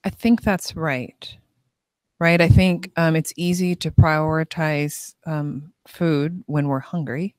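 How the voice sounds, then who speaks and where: A woman speaking as a clinic guest on a panel podcast, thoughtful and deliberate. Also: low-pitched, fairly even intonation, clean tone, no echo, studio quality.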